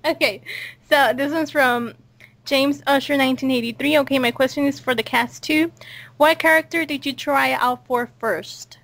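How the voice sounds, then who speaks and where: A woman's voice: high voice, steady tone, normal speed, creaky, a bit bright. A woman hosting a casual group interview with fellow voice actors, reading out questions and chatting. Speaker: interview host and voice cast member.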